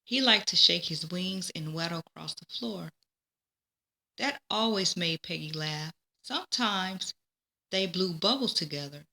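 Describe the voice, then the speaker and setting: A woman reading a children's storybook aloud, with expressive, deliberate phrasing. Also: fairly low pitch, vocal fry. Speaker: storybook reader. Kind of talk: children's read-aloud narration.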